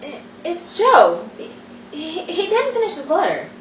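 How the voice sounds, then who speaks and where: A woman's voice: extremely high pitch, huge pitch swings, a little slow, far from the mic, muffled recording, a crowd in the background, heavily acted. A woman acting an earnest, emotional young army woman in a 1940s-style radio drama, in a noisy, echoey room. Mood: distressed.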